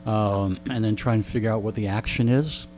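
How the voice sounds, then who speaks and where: An older man tells animated anecdotes in a casual interview, repeating phrases and often checking in with a short "okay?". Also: fairly low pitch, normal speed. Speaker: radio veteran being interviewed. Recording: clear background noise with hum.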